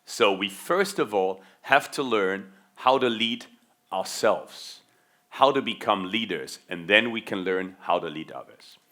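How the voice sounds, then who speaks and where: Middle-aged German-born male keynote speaker; low, bright, driving delivery, emphatic repeats. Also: animated, a little slow. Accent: faint American-schooled German. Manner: emphatic, stretches key words, repeats for stress. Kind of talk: motivational keynote talk.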